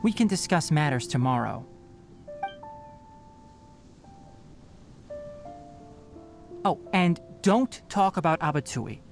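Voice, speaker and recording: A man's voice: a little high, expressive, normal speed, faint music behind. An actor playing a young male forest scholar in a video game, composed, articulate and matter-of-fact, caring but blunt. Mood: firm, caring. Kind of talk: English video game voice acting.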